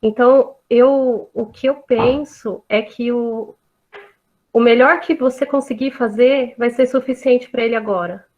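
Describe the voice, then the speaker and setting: A woman's voice: fairly high pitch, steady tone, measured pace. A woman teacher answering a parent on a video call, reassuring and often adding tag questions. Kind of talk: online talk answering questions. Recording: tinny phone-like audio.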